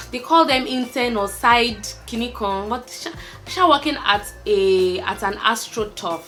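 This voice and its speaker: A woman's voice: medium pitch, a bit bright. A young woman with a Nigerian accent, chatting candidly to camera, laughing and trailing off with fillers. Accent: Nigerian, Lagos. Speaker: vlogger. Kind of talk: casual chat to camera, answering a tag list.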